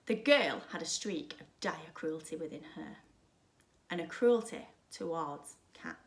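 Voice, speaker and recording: A woman reading a children's story aloud like a primary school teacher, dramatically, with emphatic pauses and hushed drops. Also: low voice, lively intonation. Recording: roomy, echoing sound.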